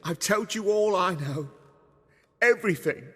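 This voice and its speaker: An actor playing a coarse male bandit thug in a video game, blunt and rough-voiced. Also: sits high, animated, measured pace, in a large hall. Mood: evasive, nervous.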